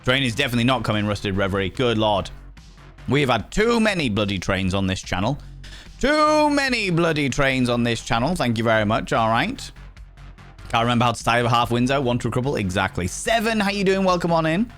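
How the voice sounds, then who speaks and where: A man's voice: mid-range voice, big pitch swings, fairly quick, fairly bright tone, faint music behind. A man with a Yorkshire accent, chatting casually with his live-stream audience, cheeky and teasing, swearing freely. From Yorkshire, England.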